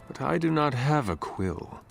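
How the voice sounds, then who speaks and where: An actor playing a solemn, courteous ancient warrior with dry wit in a video game, speaking formally in a gravelly voice. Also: male voice, mid-range voice, sing-song, soft background music. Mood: dryly amused. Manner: formal, measured, no contractions.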